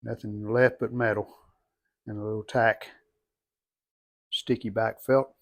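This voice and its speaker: A man giving casual how-to narration as a hobbyist restorer, plain-spoken and folksy, low-key, talking in short phrases. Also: little pitch movement, unhurried. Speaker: hobbyist restorer demonstrating a repair.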